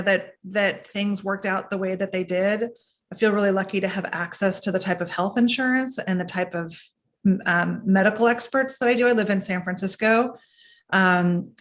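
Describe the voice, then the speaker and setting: A woman in her forties with a middle pitch. She reflects on her experience as a panelist, searching for words with small pauses. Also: fairly bright tone. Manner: reflective, with many ums and restarts. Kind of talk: personal story on a video panel.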